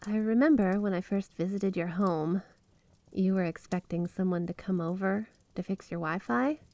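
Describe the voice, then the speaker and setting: A woman playing a flirty, obsessively devoted yandere wife in an intimate ASMR roleplay, gushing straight to the listener with drawn-out emphasis. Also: mid-range voice, warm tone, dry recording, lightly acted. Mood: fond, tender. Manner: gushing, breathy giggles, drawn-out emphasis on words.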